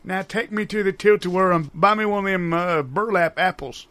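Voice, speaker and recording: A man playing a forgetful, folksy old grandpa who tells halting tall tales in improvised comedy. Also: sits high, pushed and bright, no echo. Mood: rambling, folksy.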